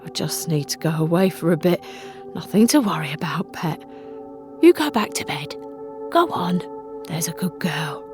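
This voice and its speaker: A woman voicing a gently reassuring, evasive father talking softly to his young daughter in an audio drama. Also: on the low side, animated, normal speed, over music, voice pushed down, natural voice. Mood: evasive, soothing.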